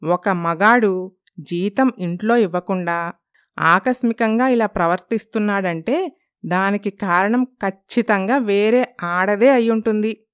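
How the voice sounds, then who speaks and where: A woman's voice: medium pitch, unhurried, lightly acted. A woman voicing a shrewd, protective old grandmother who talks like a knowing elder in a cartoon story. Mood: advising. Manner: knowing, colloquial elder's talk.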